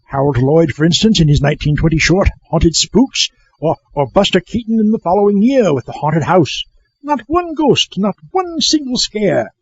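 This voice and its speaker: An actor playing a pompous, indignant film-buff doctor who lectures emphatically on a comedy audio show. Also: male voice, high-pitched, sing-song, moderate pace, slightly rough, exaggerated voice. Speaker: film history commentator. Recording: dull, muffled recording.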